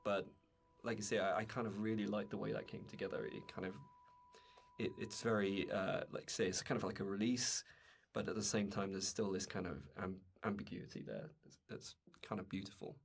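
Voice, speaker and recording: A man's voice: on the low side, little pitch movement, fairly quick, vocal fry, breathy edge. A man speaking in a reflective, conversational way, a little hesitantly, as a game developer on a director's commentary.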